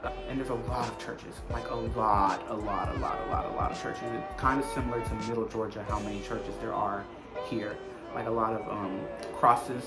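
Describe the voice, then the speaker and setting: A man's voice: fairly low pitch, fairly even intonation, normal speed. A man in his twenties with an American accent, chatting casually and rambling a little as he answers questions as a vlogger, often repeating phrases for emphasis. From United States. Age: 20s. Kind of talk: casual Q&A chat to camera. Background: background music.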